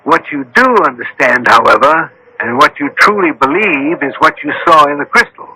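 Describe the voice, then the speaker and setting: An actor playing a commanding, precise scientist-detective in a radio drama, speaking formally and with authority. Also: male voice, a little high, sing-song, normal speed, pushed and bright, a little hiss or hum, full character voice, poor audio. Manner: authoritative, formal, giving crisp orders. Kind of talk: 1970s-80s radio drama acting.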